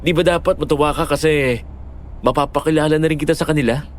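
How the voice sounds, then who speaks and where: Higher-pitched, caring young boyfriend, acted by a man. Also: steady tone, quick pace, slight background noise, natural voice. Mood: affectionate, easygoing. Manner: earnest, gently reasoning. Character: Fred, caring young boyfriend, patient and reasonable. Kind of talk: Filipino audio horror-story drama acting.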